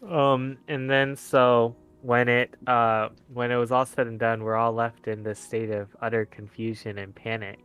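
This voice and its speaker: A man's voice: medium pitch, flat delivery, measured pace, few pauses, a bit bright, clear, resonant tone. A man playing a tabletop game, telling a casual, rambling recap in an informal way.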